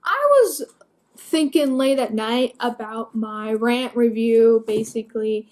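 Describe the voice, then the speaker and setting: A woman's voice: on the high side, animated, takes it slowly, darkish tone. An eighteen-year-old woman giving a casual, rambling book review to camera in a candid, self-deprecating, hesitant way.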